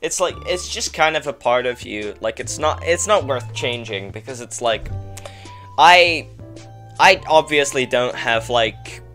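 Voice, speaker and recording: A man's voice: mid-range voice, animated, measured pace, sharp tone, faint music behind. A young man from England chatting casually as a podcast guest over a video call, rambling and filling his talk with "like". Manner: rambling, heavy use of "like", frequent laughter. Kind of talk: casual chat.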